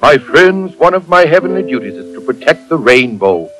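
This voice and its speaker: A man's voice: on the high side, big pitch swings, normal speed, a bit bright. Man playing a noble phoenix over prominent music. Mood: grave, pleading. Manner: solemn, formal appeal. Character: noble, earnest magic phoenix guardian. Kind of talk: storybook character acting.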